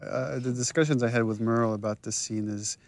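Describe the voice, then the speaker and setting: A man's voice: mid-range voice, fairly even intonation, brisk, no echo. A man giving casual, explanatory behind-the-scenes commentary as a creator.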